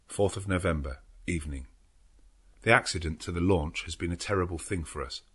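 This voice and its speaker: Guy doing tense young english husband, low husky urgent voice, audiobook diary reading. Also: brisk, fairly bright tone. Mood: anxious, urgent. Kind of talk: audiobook character narration.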